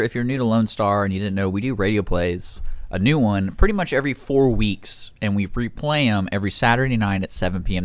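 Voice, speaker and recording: Low-voiced man, rambling radio chat. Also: dry recording. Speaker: radio show host. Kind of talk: casual live radio chat. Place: radio studio.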